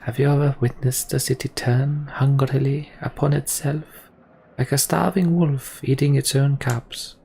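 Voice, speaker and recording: A man voicing a scheming, menacing imprisoned manipulator in a video game, deliberate and taunting as he makes his speeches. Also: medium pitch, steady tone, creaky, a little mellow, a little hiss or hum. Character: scheming, menacing male prisoner and political manipulator. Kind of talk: dramatic reading of RPG dialogue.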